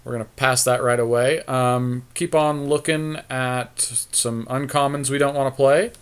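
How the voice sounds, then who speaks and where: A man's voice: medium pitch, steady tone, a little slow, clear, resonant tone. A man co-hosting a podcast, giving casual card game draft commentary and thinking aloud in an easygoing, tentative way. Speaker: podcast co-host.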